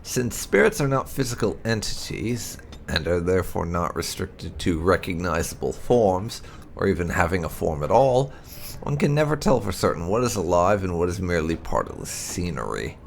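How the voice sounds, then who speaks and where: A man reading fantasy game lore aloud as narration, in a deliberate, expressive manner with dramatic stresses. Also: low voice, vocal fry, slight background noise. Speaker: host of a game playthrough channel.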